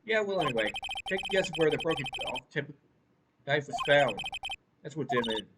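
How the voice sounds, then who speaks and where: A woman voicing a gruff, earnest, forgetful male police detective with a blustering, folksy manner in a video game. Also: sits low, lively intonation, moderate pace, heavy background noise. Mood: confident. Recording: game music behind the voice.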